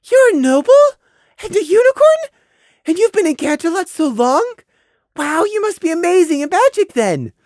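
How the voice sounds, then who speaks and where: High, chirpy little pony colt. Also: female voice, huge pitch swings, fairly quick, dry recording, studio quality, heavily acted. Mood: feigned wonder. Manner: chirpy, exaggerated wide-eyed enthusiasm. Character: Prey, malicious young colt feigning sweet childlike innocence.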